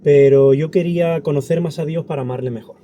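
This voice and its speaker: A man's voice: on the high side, steady tone, a little fast, mellow, slight background noise. A man from Andalusia with a Spanish accent from Spain, answering as a pastor in an interview in an earnest, reflective way.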